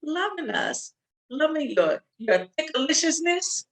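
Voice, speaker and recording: A woman's voice: high-pitched, very animated, unhurried. A woman speaking as an interview guest, emphatic and motivational, repeating short phrases for emphasis. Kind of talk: conversational interview.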